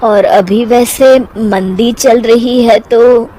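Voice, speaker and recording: A woman's voice: on the high side, steady tone, extremely slow, dark tone, slight background noise, poor audio. A woman voicing a money-worried, easily irritated young husband in a cartoon story, with a hesitant manner. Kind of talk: Hindi cartoon story voice acting.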